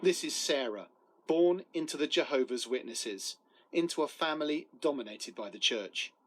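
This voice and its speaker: A man's voice: a little high, animated, moderate pace. A man narrating a scripted television news report as a correspondent.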